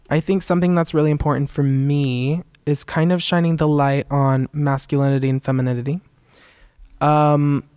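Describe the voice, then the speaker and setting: A man's voice: medium pitch, darkish tone, smooth, no room sound, clean studio sound. A young man, a photographer, answering onstage interview questions in an earnest way with many "um"s, in a voice he calls feminine. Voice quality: describes his own voice as feminine. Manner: earnest, many "um"s and "kind of", laughs often. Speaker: guest, photographer and zine curator. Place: theater stage.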